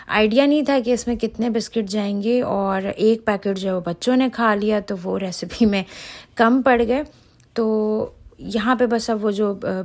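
A woman's voice: mid-range voice, vocal fry. A middle-aged woman hosts a casual home cooking voice-over, chatting directly to viewers. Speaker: home cooking channel host. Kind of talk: casual recipe voice-over tutorial.

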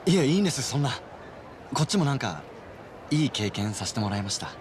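A man's voice: medium pitch, sing-song, fast talker, slight background noise, natural voice. A man voicing a modest, sincere young man with polite speech in a video game. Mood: sincere, grateful. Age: young adult. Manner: polite, soft-mannered speech. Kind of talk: Japanese video game voice acting.

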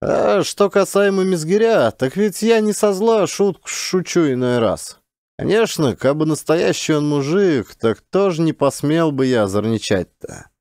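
Audiobook part: blunt rustic idler, acted by a man, folksy grumbler with very lively intonation. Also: a little high, a little slow, no room sound, clean studio sound, voice pushed up. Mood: grumbling, self-justifying. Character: beardless round-faced peasant man, brash idle forest-post teaser.

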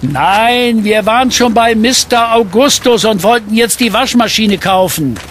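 An actor playing a good-natured, jovial middle-aged uncle who sells junk in a radio play. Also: male voice, high-pitched, unhurried, fairly bright tone, slight background noise. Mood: flustered.

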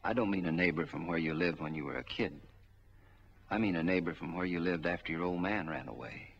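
An American actor playing a cold, vengeful middle-aged gangster in a 1940s film noir, speaking in a deliberate, threatening way. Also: male voice, sits low, gravelly, fairly bright tone, slightly rough, heavily acted. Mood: grim, suspicious. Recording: dull, muffled 1940s film soundtrack.

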